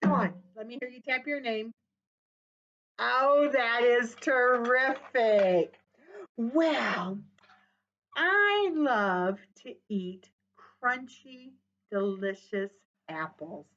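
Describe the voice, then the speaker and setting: An older woman reading a picture book and talking warmly to young children at a virtual storytime. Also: fairly high pitch, extremely slow, muffled recording. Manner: animated and encouraging, gasps with delight, sings and chants rhymes. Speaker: children's librarian. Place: small office with window blinds, indoors.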